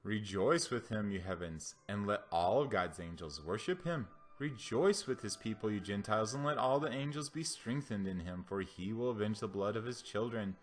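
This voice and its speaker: A man's voice: on the low side, animated, normal speed, few pauses. A man gives a dramatic, solemn and declamatory reading of scripture. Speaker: scripture reader.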